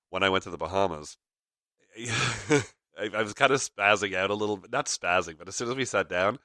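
A man with a low, forceful voice carrying a slight rasp. He rambles casually through his chat, full of "uh"s and small chuckles. The sound is close and dry. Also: dry recording. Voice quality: raspy. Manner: rambling, frequent fillers, chuckles. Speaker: podcast host.

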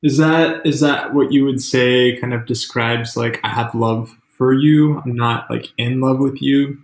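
A man hosting an interview chat, asking questions in a hesitant way with many pauses and restarts. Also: mid-range voice, fairly even intonation, roomy sound.

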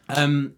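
A young man co-hosting a podcast, playfully leading the chat and laughing along while nursing a cold. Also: on the high side. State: has a cold. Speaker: podcast co-host. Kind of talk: casual chat.

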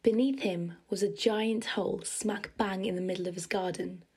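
A woman's voice: medium pitch, fairly even intonation, moderate pace, darkish tone. A woman reading the credits and narration of an audio drama in a plain, matter-of-fact manner. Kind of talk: scripted storybook narration. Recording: music underneath.

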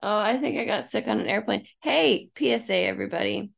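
A woman's voice: medium pitch, lively intonation, a little fast, gravelly. A woman co-hosting a podcast, telling stories casually with a lot of swearing and joking. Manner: profane, joking. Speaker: podcast co-host. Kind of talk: casual chat and comic storytelling.

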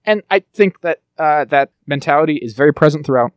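A man co-hosting a podcast, chatting casually and quickly, with lively asides and quick mock voices. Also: fairly high pitch, smooth, dry recording, studio quality. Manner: fast, animated, breaks into quick mock impressions. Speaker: podcast co-host. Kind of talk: casual chat about TV shows.

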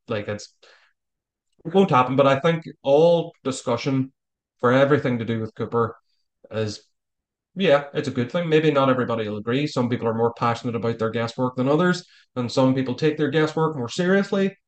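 An Irish man talks as a guest on a podcast. He is casual and opinionated, making his points emphatically in everyday colloquial language with the odd mild swear. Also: mid-range voice. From Ireland. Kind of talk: casual, opinionated interview talk.

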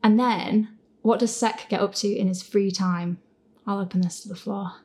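A woman's voice: mid-range voice, steady tone, normal speed, warm tone. A woman hosting a casual, chatty question and answer session about her audio fiction, in a giggly, self-deprecating way. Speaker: host and audio fiction creator. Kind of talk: casual Q&A chat.

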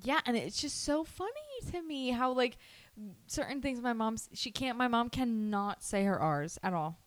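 A woman's voice: on the high side, normal speed, dry recording. A woman in casual podcast chat, swearing freely and laughing often. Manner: swears a lot, animated and laughing.

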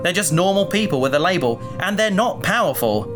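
A sceptical, rational crew mate in a sci-fi audio drama, acted by a man, argues in articulate, emphatic phrases. The pitch rises and falls widely, and music plays clearly behind. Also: very animated, brisk. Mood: scornful lecturing.

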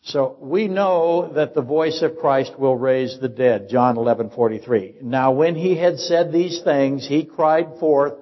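A man's voice: medium pitch, fairly even intonation, a little slow, hardly any pauses. An older man preaching a sermon as pastor, firing off rhetorical questions to teach his congregation. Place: church sanctuary. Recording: dull, echoey church sermon recording.

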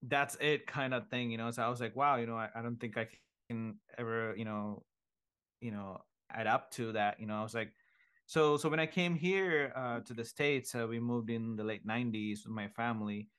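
A middle-aged man from Sri Lanka with a smooth, resonant voice. His pitch sits in the middle. He talks in a hesitant, unhurried way, often stopping on fillers as he shares his story. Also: fairly bright tone. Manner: halting, many ums and uhs. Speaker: guest sharing his faith story. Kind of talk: casual faith testimony.

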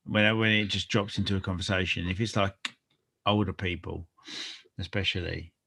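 Low gravelly man, wry and self-deprecating. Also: steady tone, moderate pace, pushed and bright. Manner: wry, self-deprecating, laughs at own stories. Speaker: podcast co-host. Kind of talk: casual chat.

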